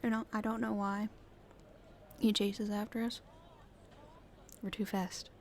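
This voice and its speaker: A woman's voice: mid-range voice, flat delivery, unhurried, darkish tone, slight background noise, close to an everyday voice. A woman playing a casual, friendly young street urchin girl in an audio roleplay, speaking hesitantly and informally. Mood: relaxed. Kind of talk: roleplay ASMR acting.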